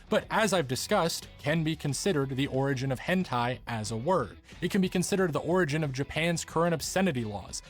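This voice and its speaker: A man narrating a scripted documentary-style video essay in an earnest, articulate manner. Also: on the high side.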